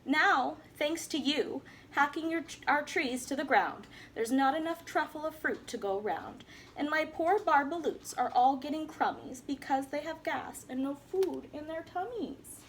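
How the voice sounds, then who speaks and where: A woman's voice: sits high, measured pace, a little hiss or hum, voice pushed up. A woman voicing a grumpy small male forest creature, snapping and scolding.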